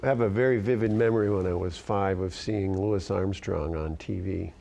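Deep gravelly man in his fifties. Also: steady tone. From Northern New York. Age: 50s. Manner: soft-spoken, reflective, pauses often. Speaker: music educator guest. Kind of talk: relaxed interview answers.

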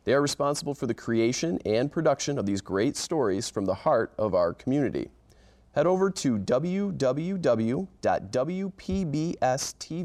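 A man hosting a community podcast, reading a scripted intro and then interviewing guests in a friendly, measured way. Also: mid-range voice, a little mellow. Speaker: podcast host.

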